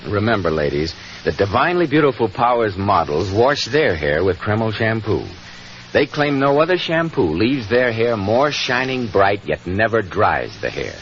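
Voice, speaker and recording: Low-voiced American vintage radio announcer. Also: male voice, sing-song, moderate pace, fairly bright tone, a little hiss or hum. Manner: polished, emphatic salesmanship. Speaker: radio announcer and sponsor pitchman. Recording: muffled 1940s radio broadcast with music.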